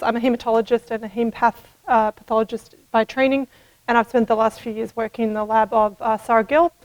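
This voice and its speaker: Woman doing a science conference talk, voice on the high side, pretty flat delivery, measured and explanatory, podium mic. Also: low-quality recording. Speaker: medical researcher presenting at a conference. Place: indoor conference room, at a lectern. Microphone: podium microphone.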